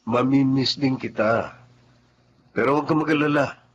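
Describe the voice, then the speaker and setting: A man's voice: medium pitch, moderate pace, natural voice. An actor playing a married man who keeps a mistress, speaking in a coaxing, sly way in a radio drama. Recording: slightly dull, lo-fi sound.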